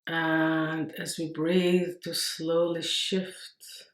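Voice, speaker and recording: A woman meditation teacher leading a guided meditation, giving gentle, unhurried instructions in short phrases. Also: low voice, level tone, reverberant.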